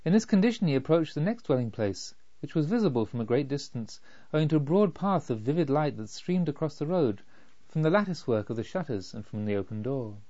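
Guy reading an audiobook story, measured and deliberate, kinda muffled lo-fi sound. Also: fairly high pitch, big pitch swings, dark tone. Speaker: audiobook narrator. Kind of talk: scripted literary narration. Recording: slightly dull, lo-fi.

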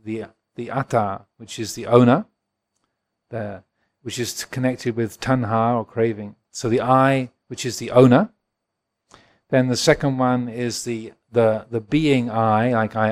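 A man in his sixties from England, a Buddhist monk giving a gentle, reflective dharma talk and answering questions at a retreat. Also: medium pitch. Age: 60s. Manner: gentle, reflective, chuckles often.

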